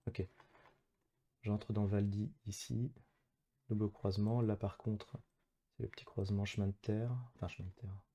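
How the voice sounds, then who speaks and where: A man's voice: fairly low pitch, little pitch movement, moderate pace, long pauses, mellow, dull audio. A man commentating casually on his own online geography game, thinking aloud in hesitant, piecemeal phrases as he plays. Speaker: gamer playing an online geography guessing match.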